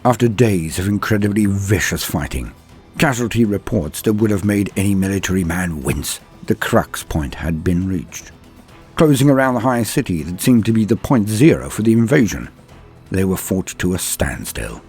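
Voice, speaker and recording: A man delivers dramatic scripted war-fantasy narration in a low, husky voice. His pitch swings widely for emphasis, and he leaves weighty, solemn pauses between phrases. Music plays behind him. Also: expressive, a little mellow. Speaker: storyteller, channel narrator.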